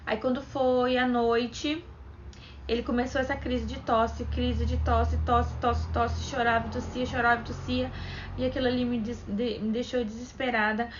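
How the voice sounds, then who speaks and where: A woman's voice: fairly high pitch, level tone, moderate pace. A young woman from Brazil talking casually to camera as a vlogger, chatty, retelling events and quoting what others said. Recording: dull lo-fi sound with faint background noise.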